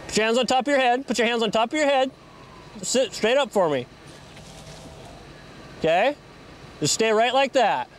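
A male police officer talking plainly and barking slow loud commands at a night traffic stop. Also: very high-pitched, animated. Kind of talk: field commands and casual police talk. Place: outdoors at night on a roadside. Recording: noisy outdoor field audio.

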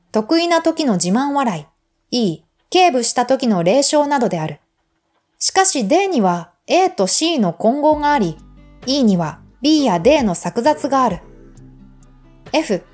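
A woman narrating a literary essay in a measured, formal reading style. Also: high-pitched, a little mellow. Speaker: audiobook narrator.

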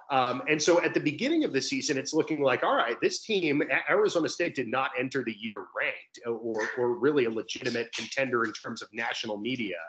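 A man's voice: medium pitch, fairly bright tone, poor audio. A young man, a sports commentator, talking through his points conversationally as a guest on a video-call interview. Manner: talkative, explanatory. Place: indoor room at home, video call.

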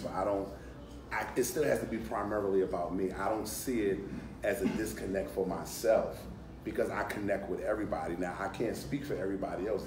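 A man with a deep voice talking casually in a panel discussion, repeating himself as he makes his point, recorded in a hotel conference room. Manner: relaxed, repeats phrases, conversational. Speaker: panelist in audience. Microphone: handheld mic, recorded by a phone camera across the room. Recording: echoey room, from far off, noisy.

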